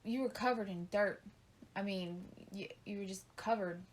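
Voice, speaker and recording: Teasing, laughing wife on a podcast. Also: female voice, mid-range voice, normal speed. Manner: laughs often, gently teasing and correcting. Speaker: co-host, the host's wife. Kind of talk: casual chat with her husband.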